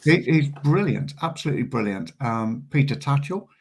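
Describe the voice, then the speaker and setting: A man's voice: medium pitch, lively intonation, moderate pace, a little hiss or hum. A man being interviewed as a guest, a celebrant speaking earnestly and hesitantly, with many ums.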